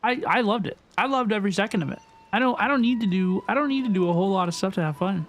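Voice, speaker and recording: A man's voice: high-pitched, very fast, fairly bright tone. A man livestreaming a game, talking to viewers in a chatty, informal way and often repeating his phrases. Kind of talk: casual livestream chat and game reaction. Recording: faint game music behind voice. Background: video game music.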